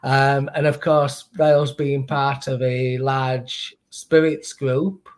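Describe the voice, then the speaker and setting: A man's voice: medium pitch, little pitch movement, slow pace. A middle-aged man with a Northern English accent, chatting casually as a podcast guest about his career in brewing. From Northern England. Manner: chatty, self-deprecating, laughs easily. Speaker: guest, brewer and distiller.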